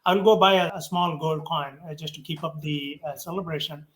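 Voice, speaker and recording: A middle-aged man from southern India with an Indian accent, talking in a relaxed way as he retells a traditional story and his family's life. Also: fairly high pitch, fairly quick. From Karnataka, India. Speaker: interviewee. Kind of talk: conversational storytelling.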